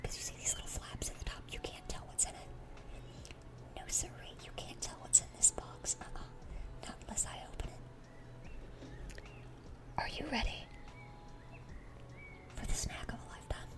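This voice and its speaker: A woman playing a dorky, affectionate young demon girl in a whispered ASMR roleplay, rambling and repeating herself eagerly. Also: natural voice. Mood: eager, fussing.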